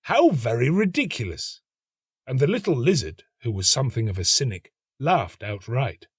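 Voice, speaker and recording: A man giving a scripted, measured and expressive storybook narration. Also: mid-range voice, breathy edge, dry recording, studio quality. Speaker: actor reading a short story.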